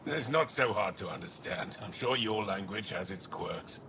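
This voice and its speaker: An actor voicing a hospitable alien bar keeper in a video game, helpful and matter-of-fact. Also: male voice, lowish voice, lively intonation, normal speed, background noise. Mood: welcoming. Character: hospitable male alien bar keeper, proud of his food.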